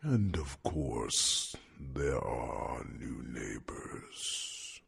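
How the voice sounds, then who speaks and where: Extremely deep, gravelly whispering dragon. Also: male voice, lively intonation, exaggerated voice. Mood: calm, reassuring. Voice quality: gravelly, breathy, rumbling whisper. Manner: slow, deliberate, with thoughtful hums. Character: old wise dragon, gentle male royal advisor to a young dragon. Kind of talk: ASMR fantasy roleplay.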